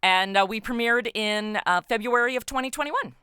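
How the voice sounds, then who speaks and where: A woman's voice: fairly high pitch, normal speed, pushed and bright, clean tone, dry recording. A woman speaking as a podcast guest, enthusiastically explaining her work in a casual interview chat. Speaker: podcast guest, audio drama writer and actor.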